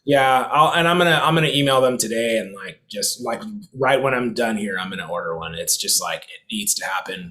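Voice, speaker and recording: A man's voice: medium pitch, expressive, fairly quick, pushed and bright. A man hosting a casual group chat over a video call, rambling with lots of fillers and frequent laughs. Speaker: host and drummer.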